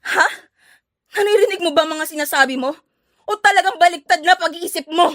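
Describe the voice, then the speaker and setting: Very high-voiced furious mother. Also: fairly quick, no room sound, clean studio sound. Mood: indignant. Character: furious, defiant mother fighting for her child. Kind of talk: melodramatic audio drama acting. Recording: voice under loud music.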